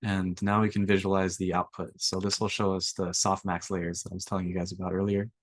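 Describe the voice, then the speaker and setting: Young guy, low monotone, bit raspy, laid-back workshop teacher. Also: a little fast, dry recording. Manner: relaxed, filler-heavy explaining. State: slightly raspy from allergies. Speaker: workshop instructor. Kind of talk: screen-share coding tutorial.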